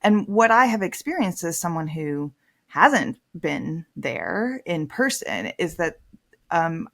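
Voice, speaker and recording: Thoughtful woman guest, lowish voice. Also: very animated, slow. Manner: thoughtful, candid, swings from soft to emphatic. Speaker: priest and author, guest. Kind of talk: reflective conversational interview.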